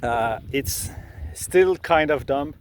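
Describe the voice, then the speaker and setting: A middle-aged man with a French accent talks unhurriedly, at a medium pitch, about how he works. His speech is hesitant and full of fillers, restarts and repeated words, as a native French speaker working in English. Faint noise sits beneath the recording. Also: lively intonation, slow pace. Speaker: creator sharing his process. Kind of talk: casual explanatory talk.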